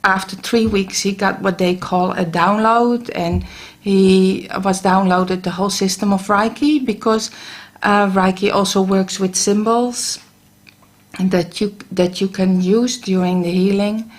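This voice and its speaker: A middle-aged woman from the Netherlands with a Dutch accent, a guest on a radio show, talking about Reiki with many pauses and restarts. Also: medium pitch, fairly even intonation. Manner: hesitant, many ums and restarts. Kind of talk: casual radio interview. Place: radio studio.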